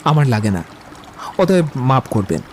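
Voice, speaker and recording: An actor playing a witty, poetry-loving young romantic suitor in an audio drama, with eloquent, theatrical delivery. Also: male voice, medium pitch, big pitch swings, a little slow, a little mellow, slight background noise. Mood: playful, persuasive. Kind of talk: Bengali audio drama acting.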